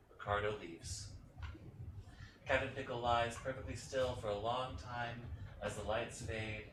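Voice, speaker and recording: A man reading stage directions as scripted narration for a staged play reading. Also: medium pitch. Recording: roomy, distant, clearly noisy.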